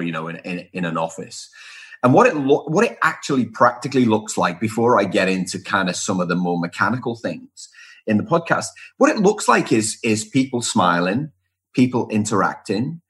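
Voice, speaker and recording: A man's voice: fairly low pitch, breathy edge. A middle-aged man from Liverpool, England, answering conversationally as an interview guest, with emphatic repeated phrases and lists. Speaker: podcast guest, speaker and author on workplace culture.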